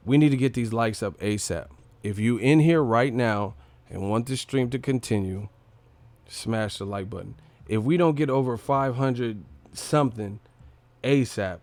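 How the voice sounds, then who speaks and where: A man's voice: fairly low pitch, darkish tone, no room sound. A man hosting a casual livestream fight breakdown, blunt and opinionated, with slangy talk and plenty of swearing. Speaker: livestream host, boxing commentator.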